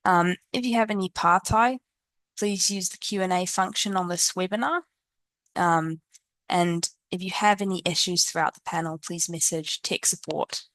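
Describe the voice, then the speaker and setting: A woman's voice: fairly low pitch, steady tone, normal speed, fairly bright tone, no echo. Hesitant woman hosting a webinar. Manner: hesitant, many ums and restarts. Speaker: panel facilitator.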